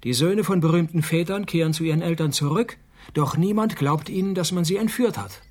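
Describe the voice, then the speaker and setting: A man's voice: on the high side, brisk, close to an everyday voice. An actor playing a wry, laconic male private detective who narrates his case and trades dry lines in a radio play. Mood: sardonic, confident. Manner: laconic, sardonic, deadpan.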